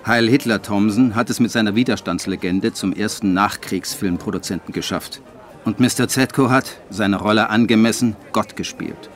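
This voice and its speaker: An actor playing a wry, detached screenwriter who narrates a radio drama in a measured, dry, literary way. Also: male voice, on the low side, natural voice. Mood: dry, sardonic. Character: male screenwriter narrating his postwar schemes, wry and detached. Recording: studio radio play over music.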